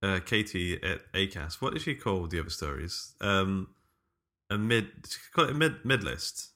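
A deep-voiced man with a bright, crisp edge co-hosts a podcast. He chats casually and haltingly, working his way round to what he wants to ask. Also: expressive. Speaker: podcast co-host. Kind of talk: casual interview chat.

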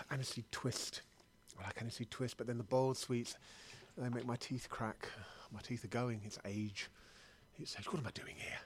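A man's voice: mid-range voice, steady tone, normal speed, a little hiss or hum, natural voice. A man playing an anxious middle-aged British sweet-shop customer in improvised comedy, rambling, hesitant and stammering as he doubts himself. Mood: fretful, musing. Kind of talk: improvised comedy monologue and sketch.

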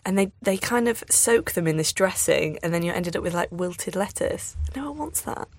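Woman, British accent, lowish pitch, husky and creaky, breezy casual chat. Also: animated, moderate pace, dry recording. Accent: British English. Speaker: online food magazine writer, podcast guest.

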